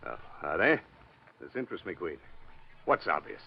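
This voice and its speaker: An actor playing a smug, scheming wealthy diamond owner in an old radio drama, with a sneering, commanding manner. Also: male voice, sits low, very animated, moderate pace, creaky, slightly rough, full character voice. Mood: bullying. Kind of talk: 1940s radio acting. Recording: dull old radio broadcast with faint hiss.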